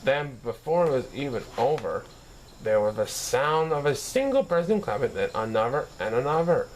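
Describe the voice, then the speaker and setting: A man narrates a story with lively, swinging intonation at a medium pitch. He reads expressively and now and then trips on a word before carrying on. There is faint noise behind him, with an insect-like chirr. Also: moderate pace, poor audio. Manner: expressive reading with occasional stumbles over words. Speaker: storybook reader. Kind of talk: scripted story narration read aloud.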